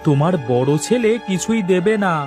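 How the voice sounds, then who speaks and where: A man's voice: high-pitched, expressive, normal speed, music in the background. A man voicing a nosy, outspoken village neighbour in a cartoon. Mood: chatty, advising. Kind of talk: Bengali cartoon dialogue.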